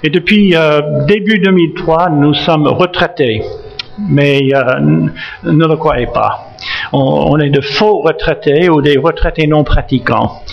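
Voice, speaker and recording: A man in his seventies from Massachusetts with an American accent, a retired missionary pastor speaking French with many fillers and restarts and gentle jokes. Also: fairly high pitch, vocal fry, lo-fi sound. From United States, Massachusetts. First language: English. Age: 70s. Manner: halting, frequent fillers and restarts, gently joking. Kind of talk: church talk introducing himself.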